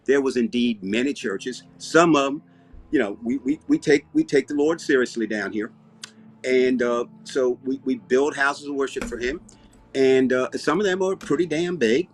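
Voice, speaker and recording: An older man with a Southern US accent and a husky voice, chatting in a folksy, rambling storytelling way with down-home grammar. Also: mid-range voice, normal speed. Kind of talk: casual bantering chat.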